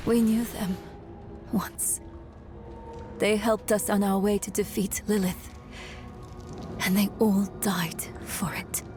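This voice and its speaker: A woman playing a grieving, weary young adventurer in a video game, speaking in a halting, solemn, heartfelt way. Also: mid-range voice, fairly even intonation, lightly acted. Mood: somber. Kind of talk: dramatic video game acting. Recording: music under the voice.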